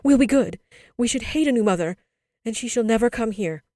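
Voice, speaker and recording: A woman's voice: medium pitch, lively intonation, moderate pace, dark tone, no echo, clean studio sound, close to an everyday voice. A woman voicing a tearful little girl in a storybook, pleading in an insistent, emotional, childlike way. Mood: upset, protesting.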